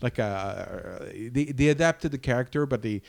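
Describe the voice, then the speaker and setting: A man's voice: mid-range voice, animated, moderate pace, vocal fry, no echo. A man co-hosting a casual film discussion, often breaking off and restarting his sentences mid-thought. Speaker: podcast co-host.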